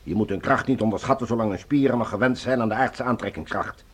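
Male actor as chilly Mars ruler for radio drama: measured commands, medium register, rough-edged husky tone. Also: medium pitch, close to an everyday voice. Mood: commanding. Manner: authoritative, measured, condescending. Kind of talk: science fiction radio drama acting.

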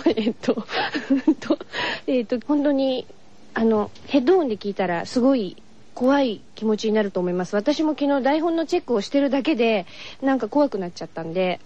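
Soft, mellow-voiced woman guest. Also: on the high side, animated, moderate pace. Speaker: voice actress, interview guest. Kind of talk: informal promotional interview comment. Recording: dull, lo-fi radio recording.

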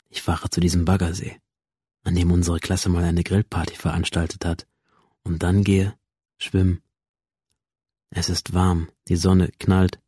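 A troubled young man in a radio drama, telling his story from inside his head. The voice is deep and husky, recorded very dry and close. The delivery is introspective and dramatic. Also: darkish tone, dry recording, exaggerated voice. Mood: musing. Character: troubled young man narrating his unreal, slipping world. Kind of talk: radio drama first-person narration.

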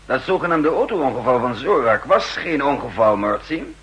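A markedly husky male voice of medium pitch for a guarded spy chief in a 1960s radio drama. He speaks formally and curtly, used to being obeyed. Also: big pitch swings, moderate pace, a bit bright, heavily acted. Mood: stern. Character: authoritative, guarded male spy chief, curt with his servant. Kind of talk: 1960s radio play acting.

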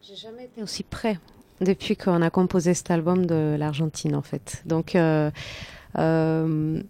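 A woman's voice: low voice, fairly even intonation, unhurried, mellow, clean tone. A woman who sings in a band, talking conversationally in an interview and often repeating words as she searches for the next. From Argentine family, lived in Argentina. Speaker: band singer and lyricist.

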